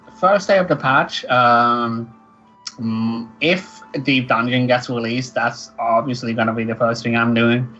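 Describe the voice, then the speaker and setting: A man's voice: medium pitch, steady tone, a little slow, bright, forceful tone. A man with a Swedish accent, a streamer co-hosting a casual gaming webcast. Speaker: guild co-host and streamer. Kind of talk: casual chat. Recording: dull lo-fi call audio with faint music.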